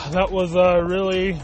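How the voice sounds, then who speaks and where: Slow, halting male podcast host. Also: sits high. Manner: halting, full of fillers and restarts, searching for words. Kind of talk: casual podcast monologue. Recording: talking over clear background music.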